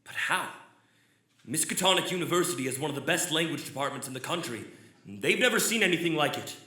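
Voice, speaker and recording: A man's voice: a little high, animated, quick pace, bright, forceful tone. A man playing an anguished occult scholar in a theatrical old-time radio drama, speaking in formal, grave, emphatic phrases. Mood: curious, skeptical. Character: anguished, haunted male occult scholar giving a statement. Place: theater stage, live performance. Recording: roomy live stage, music underneath. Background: clear music.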